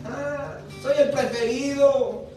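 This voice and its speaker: A man voicing a vain, boastful oak tree in a parable, preening and melodramatic with drawn-out cries. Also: very high-pitched, fairly even intonation, warm tone, pitched above the performer's own voice. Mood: smug, preening. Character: vain narcissistic male oak tree, boastful, then wailing. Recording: echoey hall, far from mic, faint music.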